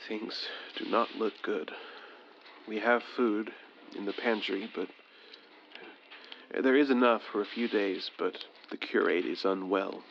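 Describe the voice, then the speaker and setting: A man playing a frightened, exhausted survivor of an alien invasion in an audio drama, with a creaky voice. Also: on the low side, fairly even intonation, a little slow. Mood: weary dread. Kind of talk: audio drama acting, confiding narration breaking into panic. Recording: dull, noisy audio drama mix.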